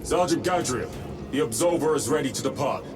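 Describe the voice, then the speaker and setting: A composed male space warrior from a video game, played by an actor, formal and assured. His dry, medium-pitched delivery comes over loud music. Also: animated, brisk, close to an everyday voice. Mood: cool. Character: composed, battle-seasoned male space soldier. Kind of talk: dramatic video game acting.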